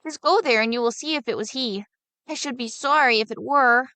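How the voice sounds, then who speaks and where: Naive farm boy, voiced by a woman reading, earnest and higher than her own voice. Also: fairly quick, pushed and bright, dry recording. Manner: earnest, guileless. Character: naive fearless young male peasant boy.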